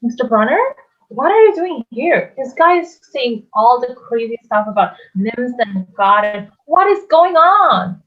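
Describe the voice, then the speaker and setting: A woman playing a bewildered boy newcomer, halting and incredulous, in a theatre table read. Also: on the high side, lively intonation. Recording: dull lo-fi microphone.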